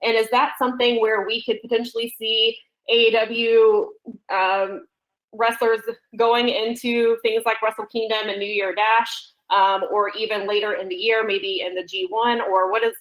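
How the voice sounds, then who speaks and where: A woman's voice: fairly high pitch, level tone, moderate pace, fairly bright tone, low-quality recording. A woman journalist putting questions on a media call in a conversational but prepared way. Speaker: wrestling journalist asking questions.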